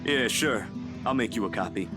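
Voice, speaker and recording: A man's voice: mid-range voice, lively intonation, normal speed, natural voice. A man playing a polite, principled young man in a visual novel game, earnest and hesitant yet firm. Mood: calm, forgiving. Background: game music.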